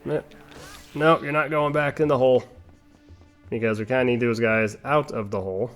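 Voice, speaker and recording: A man's voice: medium pitch, moderate pace, faint music behind. A man giving casual, rambling commentary over a video game playthrough, chatting with playful asides. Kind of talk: casual gameplay commentary with tangents.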